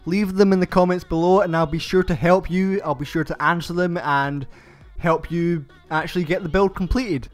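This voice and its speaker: Young male tutorial host, pitched high and congested, walks through steps slowly over soft background music. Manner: slow, methodical step-by-step instructions. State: stuffed-up nasal voice from hay fever. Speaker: channel host. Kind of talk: game build tutorial voice-over.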